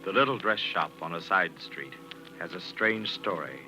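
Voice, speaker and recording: An actor playing an ominous mystery host, narrating a melodramatic tale in 1940s radio style. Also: male voice, low voice, animated, normal speed, rough recording. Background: music.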